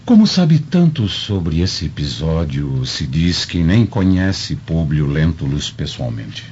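An actor playing a stern, haughty Roman senator in a Brazilian radio drama, pompous and threatening with a Brazilian accent. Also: male voice, rumbling low, sing-song, takes it slowly, a little mellow, slightly rough, lo-fi sound, heavily acted. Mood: haughty, suspicious.

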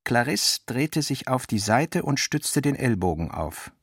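A man narrating literary prose for a radio play in a measured, deliberate manner. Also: mid-range voice, very animated, breathy edge, no echo, clean studio sound. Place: dry studio.

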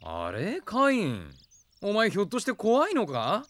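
A man playing a brisk, cheeky young knight in a Japanese radio drama, with expressive soldierly speech. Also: high-pitched, heavily acted. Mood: teasing.

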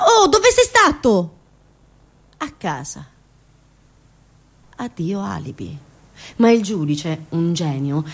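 Female stage monologue, an indignant, bitter storyteller: high-voiced, highly animated, sarcastic, theatrical. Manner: sarcastic, dramatic, swinging from hushed to loud. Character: bitter, indignant woman storyteller recounting an injustice. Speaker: stage actress performing a monologue. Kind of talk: impassioned theatrical narrative monologue.

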